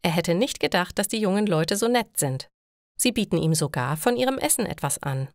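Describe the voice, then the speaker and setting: A woman reading scripted stories for language learners in a clear, deliberate narrating style. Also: fairly low pitch, lively intonation, no room sound, clean studio sound.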